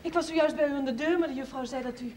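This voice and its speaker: High, quick actress as village girl. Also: a little mellow, lightly acted. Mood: eager. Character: polite, eager young village girl. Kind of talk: TV period drama acting. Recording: distant, faint background noise.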